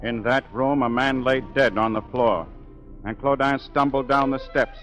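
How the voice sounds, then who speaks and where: An actor playing an opera singer who narrates a tragic story in measured, dramatic 1940s radio style. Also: male voice, mid-range voice, steady tone, a bit bright, close to an everyday voice. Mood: grave, dramatic. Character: grave male opera singer recounting a tragic past. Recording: vintage radio broadcast, music behind.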